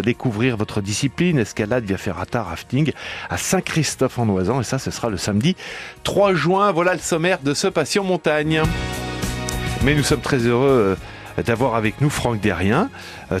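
A man hosting a radio magazine show and presenting it from a studio. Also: medium pitch. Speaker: radio host. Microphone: studio microphone.

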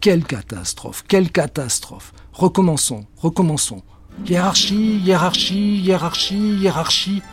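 A man's voice: on the high side, lively intonation, soft background music, voice pushed up. A man doing a fussy polite witch. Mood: flustered. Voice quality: slow, overly courteous. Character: fussy, polite but clumsy female witch.

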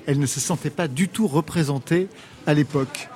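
Slightly high-voiced male radio host. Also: fairly quick, darkish tone. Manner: probing, follow-up questions. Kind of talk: radio interview.

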